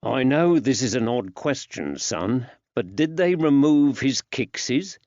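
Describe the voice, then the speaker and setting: A man voicing a kindly character who gently coaxes answers from a child, with old-fashioned working-class phrasing. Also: mid-range voice, animated, unhurried, no room sound, dull audio. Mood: probing, encouraging.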